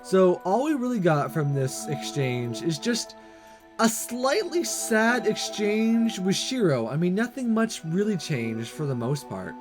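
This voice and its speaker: A man's voice: high voice, sing-song, a little slow. A man hosting a let's play, giving casual commentary and reading narration from a visual novel. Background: music.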